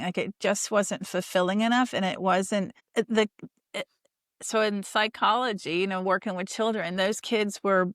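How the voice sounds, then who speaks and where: A woman's voice: medium pitch, fairly bright tone, no echo, studio quality. A woman hosting a podcast interview, chatting enthusiastically and rambling with restarts. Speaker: podcast host. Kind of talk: casual interview chat.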